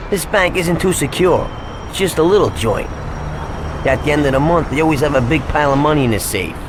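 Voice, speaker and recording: An actor playing an excitable, scheming small-time American gangster in a video game, talking in streetwise slang. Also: male voice, a little high, brisk, background noise, lightly acted. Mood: insistent. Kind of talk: video game cutscene acting.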